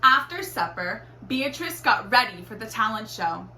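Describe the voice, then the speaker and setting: Woman, storybook narrator, bright voice, very lively intonation, deliberate delivery, slightly echoey room. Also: fairly high pitch. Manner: animated, deliberate storytelling with acted exclamations. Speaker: teacher reading a picture book aloud. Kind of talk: expressive children's storybook read-aloud. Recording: roomy echo, faint background noise.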